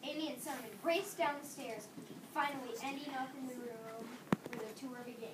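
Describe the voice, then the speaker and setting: A woman's voice: sits high, normal speed. A young woman reading scripted narration aloud for readers theater, stumbling now and then over words. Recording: distant echoey room, clear background noise.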